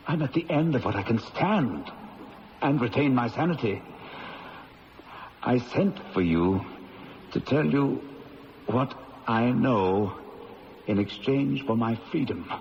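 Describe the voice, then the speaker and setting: An actor playing a worn-out, desperate diamond firm manager in a radio drama, confiding his story. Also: mid-range voice. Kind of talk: 1970s radio drama acting. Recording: muffled old radio broadcast with faint hiss.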